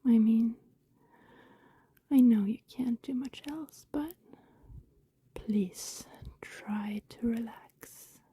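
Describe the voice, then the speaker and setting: A woman playing a lonely, tender, guilt-ridden vampire neighbor in an intimate ASMR roleplay, speaking softly in a breathy whisper with pauses. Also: a little high, slight background noise. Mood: hungry, teasing. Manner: slow, hushed, intimate, with pauses.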